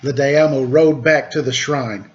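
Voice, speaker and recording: A man hosting a storytime, reading a children's tale aloud with measured, pausing phrasing. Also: medium pitch, fairly even intonation. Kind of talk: read-aloud storytelling with casual introduction. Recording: dull lo-fi recording.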